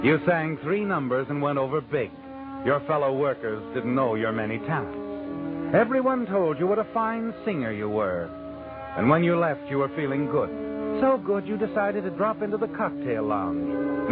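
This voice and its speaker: Mysterious suspense narrator, taunting, ominous, lively swooping pitch, music behind, old radio drama. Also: male voice, narrow, tinny audio. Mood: knowing, insinuating. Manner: ominous, insinuating rhetorical questions in second person. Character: mysterious man narrator addressing the guilty woman, knowing, taunting.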